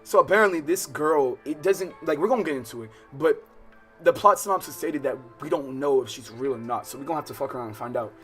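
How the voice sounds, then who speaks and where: A man's voice: on the high side, quick pace, fairly bright tone. An American man livestreaming a visual novel, reading the text aloud and breaking off into profane, slangy, casual commentary. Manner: slangy, profane, digressive, interrupting himself while reading. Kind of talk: casual let's-play commentary with read-aloud game text. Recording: music playing under the voice. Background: game soundtrack music.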